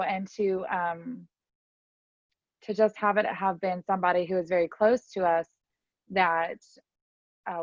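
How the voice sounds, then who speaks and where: A woman's voice: fairly low pitch, darkish tone, no room sound. A woman, telling a personal story candidly as an interview guest. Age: 30s. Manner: candid, chatty, lots of fillers. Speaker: podcast guest. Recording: dry, slightly muffled audio.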